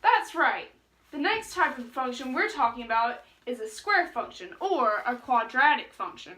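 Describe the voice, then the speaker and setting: A woman acts out a brisk, impatient wizard in a puppet show. The voice is high and bright, bossy and instructive. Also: very animated, heavily acted, poor audio. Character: bossy, bargaining math wizard who teaches.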